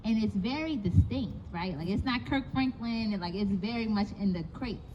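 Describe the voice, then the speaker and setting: A woman's voice: medium pitch, expressive, normal speed, dark tone. An American woman moderating a live Q&A in a casual, conversational way. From Southern United States church tradition. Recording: dull, faintly noisy live recording.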